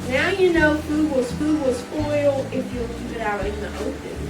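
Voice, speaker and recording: A woman's voice: high voice, moderate pace. A woman telling a story as a narrator in an amateur stage reading. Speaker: narrator in a reader's theater. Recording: echoey room, far mic, loud music behind.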